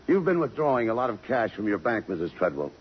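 An actor playing a blunt, tough police lieutenant in a 1950s radio drama, questioning people in terse, dry slang. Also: male voice, lowish voice, very animated, quick pace, husky. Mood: probing. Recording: muffled, hissy 1950s radio recording.

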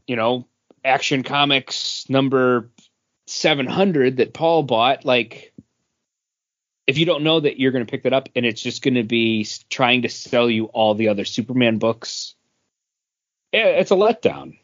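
A man's voice: mid-range voice, unhurried, long pauses, a bit bright, highs cut off. A man co-hosting a casual comics podcast, talking conversationally and slipping into quoted asides. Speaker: podcast co-host.

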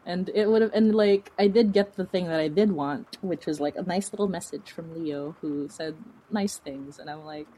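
A woman's voice: lowish voice, normal speed, few pauses, warm tone, slight background noise, low-quality recording. A woman from the Philippines chatting informally as an interview guest, rambling and often giggling as she talks. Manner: giggly, laughs through speech, rambling and informal. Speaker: guest, horror fiction podcast creator. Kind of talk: casual interview chat.

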